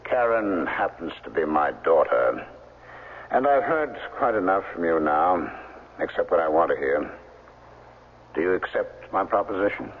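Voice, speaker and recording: An actor playing a cold, domineering middle-aged crime boss in a 1970s radio drama, smooth, condescending and commanding. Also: male voice, low-pitched, expressive, a little slow, a little hiss or hum. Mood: smug menace. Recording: muffled vintage 1970s radio broadcast.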